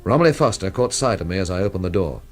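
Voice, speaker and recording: A wry investigator in a radio mystery, with a lowish, very lively voice and a dry literary turn of phrase. Music plays behind him. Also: male voice, fast. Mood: wry narration. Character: dry, ironical male investigator narrating his own mystery. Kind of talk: radio drama acting and first-person narration.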